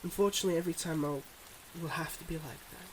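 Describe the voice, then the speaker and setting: Guy acting as solemn devoted mob boss boyfriend, asmr roleplay, slightly high voice, earnest n halting, bit of hiss behind. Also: lightly acted, low-quality recording. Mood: solemn, regretful. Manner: earnest, measured, halting confessions.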